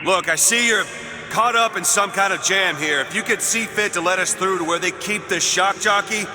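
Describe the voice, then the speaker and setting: An actor playing a weary, gruff former soldier turned private investigator, blunt and plainspoken, in a video game. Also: male voice, high-pitched, moderate pace, natural voice. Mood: insistent, reluctant. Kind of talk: naturalistic video game acting. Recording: loud music behind the voice.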